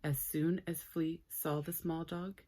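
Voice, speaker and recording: A woman reading a story aloud for children in an expressive, playful way with dramatic pauses. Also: deep voice.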